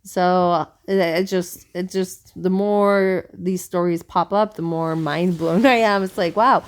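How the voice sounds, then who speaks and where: A woman's voice: fairly low pitch, fairly even intonation, slow pace. A woman co-hosting a podcast in casual conversation, talking in an earnest, rambling, musing way. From South America. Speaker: podcast co-host.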